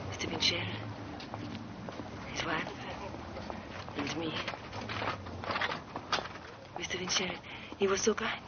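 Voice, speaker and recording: An actress playing a talkative Parisian streetwalker, rambling and confiding, sometimes dropping to a whisper, on a dull, noisy old film soundtrack. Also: female voice, lowish voice, slow, long pauses. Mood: wistful.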